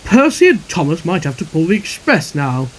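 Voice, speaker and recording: A narrator voicing a pompous big male express engine in a children's story, booming and mocking. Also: very animated, moderate pace, slight background noise, lightly acted. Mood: indignant.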